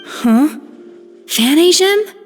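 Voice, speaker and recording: A composed, dignified former chef. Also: female voice, high-pitched, lively intonation, soft background music, exaggerated voice. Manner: composed, measured, firm. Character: calm, dignified former female head chef. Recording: reverb.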